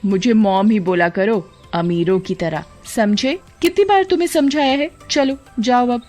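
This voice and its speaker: A woman voicing a discontented, sharp-tongued young wife in a cartoon story, complaining and scolding. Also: fairly high pitch, unhurried, lightly acted. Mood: nagging, fed up. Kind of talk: Hindi cartoon story voice acting. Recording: background music.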